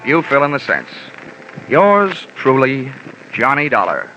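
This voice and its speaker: Hard-boiled American investigator, dry wit, animated intonation, 1940s radio style, muffled vintage sound. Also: male voice, mid-range voice, a little slow, heavy background noise. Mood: wry. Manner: wry, deadpan wisecracking. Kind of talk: 1940s radio detective narration and dialogue. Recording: dull old radio recording.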